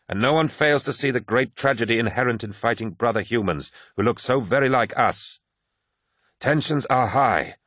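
A man's voice: medium pitch, no room sound, clean studio sound, pitched above the performer's own voice, close to an everyday voice. Man acting a commanding, declamatory warrior leader. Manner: declamatory, forceful speech-making. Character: commanding warrior lodge leader, proud orator to his troops. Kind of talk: dramatic audiobook character voice.

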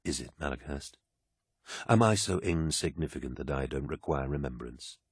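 A man voicing a commanding, brooding, proud warlord in an audiobook, with a grave, imperious, husky delivery. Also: extremely low pitch, moderate pace, no room sound, studio quality, voice pushed down. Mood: cool, challenging.

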